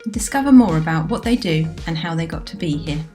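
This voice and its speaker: A woman reading a scripted introduction to a video series in a clear, formal way. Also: deep voice, lively intonation, fast talker, warm tone, soft background music. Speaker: video narrator and interviewer. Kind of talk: scripted narration.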